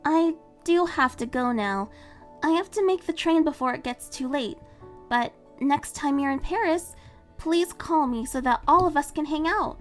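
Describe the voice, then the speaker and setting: A woman voicing a cheerful, eager teenage girl heroine who talks in a bubbly, excitable way. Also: high-pitched, normal speed, soft background music, voice pushed up. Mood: upbeat.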